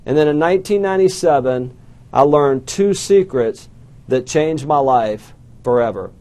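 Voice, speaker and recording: A man's voice: fairly high pitch, animated, normal speed, dark tone. A middle-aged man with an Alabama Southern accent giving a motivational talk to an audience, telling folksy stories with self-deprecating jokes. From southern United States. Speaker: small business coach speaker.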